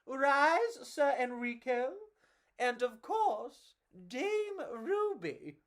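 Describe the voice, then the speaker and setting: A woman's voice: high voice, very animated, extremely slow, voice pushed up, exaggerated voice. A woman voicing a grand, gracious queen in a children's storybook, proclaiming ceremonially and with delight.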